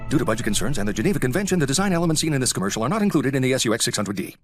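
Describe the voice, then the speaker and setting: Male disclaimer announcer acted, extremely rapid official read, parody commercial with loud music. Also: mid-range voice, very animated, lightly acted. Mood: hurried, official.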